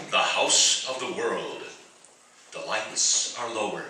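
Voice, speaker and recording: Slow, grand radio announcer played by a man. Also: mid-range voice, sharp tone, full character voice. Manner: grand, measured, theatrical. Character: grand, dramatic old-time radio announcer. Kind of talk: 1940s-style radio announcing. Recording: very echoey hall, distant, music behind.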